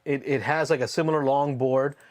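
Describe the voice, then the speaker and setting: A man's voice: mid-range voice, fairly even intonation, brisk. A man chatting casually while playing a board game on a stream, reading out and answering viewer comments. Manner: reads out viewer comments and chats back. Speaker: board game player and host of a live play stream. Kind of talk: casual chat during board game play.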